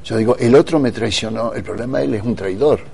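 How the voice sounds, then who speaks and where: A man's voice: medium pitch, quick pace, gravelly, darkish tone, slightly rough. A man speaking as a talk show guest, assertive and sententious in motivational conversation. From Argentina.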